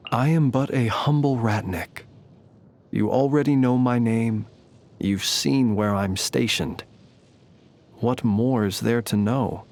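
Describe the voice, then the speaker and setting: A man voicing a courtly, humble lamp-bearing knight in a video game, speaking in formal, eloquent, old-fashioned phrases at a measured pace. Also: medium pitch, a little mellow. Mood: wry, modest. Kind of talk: scripted game character voice lines.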